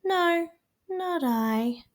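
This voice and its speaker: A shy young storybook girl, played by a woman reader, pitched well up high. She sounds discouraged and full of self-doubt, a small inventor ready to give up. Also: animated, extremely slow, exaggerated voice. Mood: doubtful.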